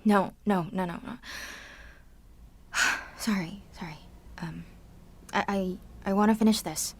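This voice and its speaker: A woman's voice: medium pitch, steady tone, a little slow, husky. A woman playing a sarcastic, anxious seventeen-year-old supervillain, speaking theatrically in an audio drama monologue. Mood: anxious, confessional.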